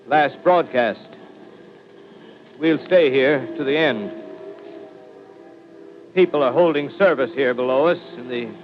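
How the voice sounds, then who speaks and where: A man's voice: medium pitch, a little hiss or hum, natural voice. An actor playing a panicked male radio announcer in a 1930s radio drama, reporting in urgent, breathless bursts of dread. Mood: grim. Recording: muffled 1930s radio recording with music.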